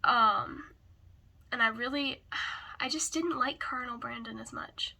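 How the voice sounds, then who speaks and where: A woman who is hoarse and raspy from a cold gives a casual book review, often hesitantly searching for words. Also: a little high, a little slow, sharp tone.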